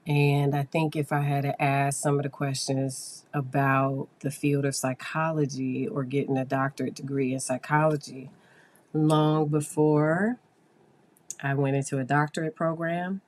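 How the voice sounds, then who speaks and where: Woman, hoarse, low pitch, podcast host, psychologist, casual explaining. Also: darkish tone. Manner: chatty, explains with fillers. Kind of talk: casual solo podcast talk.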